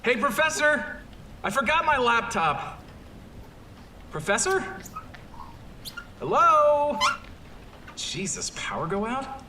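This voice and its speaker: A man's voice: extremely high pitch, big pitch swings, slow, pauses often, bright, forceful tone, a little hiss or hum, exaggerated voice. A man playing a frightened, deferential young student in a horror audio drama, with a nervous, hesitant way of talking. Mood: puzzled.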